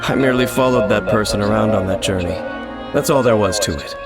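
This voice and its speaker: An actor playing a calm, mysterious wandering swordsman in a video game, speaking in a measured, formal, grave way. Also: lowish voice, close to an everyday voice. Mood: solemn. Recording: game music loud behind.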